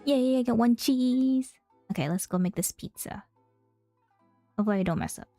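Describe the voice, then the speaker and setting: A woman's voice: a little high, moderate pace, dark tone. A young woman giving casual, chatty and playful gameplay commentary as a streamer. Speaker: game streamer. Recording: faint game music behind. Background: video game music.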